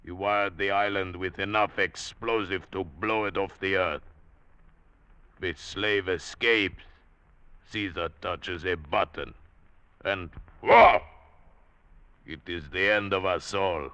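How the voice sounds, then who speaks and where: An actor playing a huge scarred gladiator slave in a radio drama, grim and menacing, speaking blunt broken English. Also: male voice, low-pitched, takes it slowly, long pauses, sharp tone, smooth, dry recording, full character voice. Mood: grave warning. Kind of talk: old-time radio drama acting.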